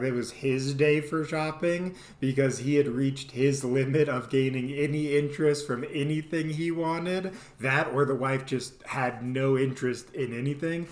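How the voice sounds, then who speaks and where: Male storytelling host, casual and comedic, rambling but animated delivery, roomy echoey recording. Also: medium pitch. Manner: animated, rambling, mimics people in exaggerated voices.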